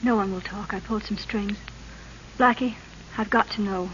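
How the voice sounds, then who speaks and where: An actress plays an anxious, earnest woman in an old radio melodrama. Her pitch is moderate. The sound is muffled, with light background hiss. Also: steady tone, normal speed, fairly bright tone, close to an everyday voice. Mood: anxious, urgent. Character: earnest, anxious politician's wife pleading with old flame. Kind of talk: 1940s radio drama acting.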